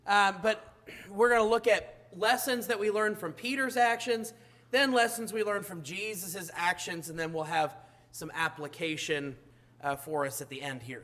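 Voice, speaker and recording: A hoarse man delivers a church sermon in a high-pitched voice. He keeps stumbling into fillers and restarts as he speaks. His voice sounds rough and strained. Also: sharp tone. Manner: halting, frequent uh fillers and restarts. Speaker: preacher.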